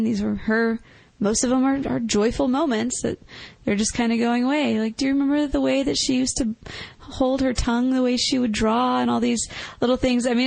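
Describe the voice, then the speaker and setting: A woman's voice: fairly high pitch, gravelly, no room sound, low-quality recording. A woman co-hosting a podcast, discussing a film in a casual, reflective way. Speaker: podcast co-host.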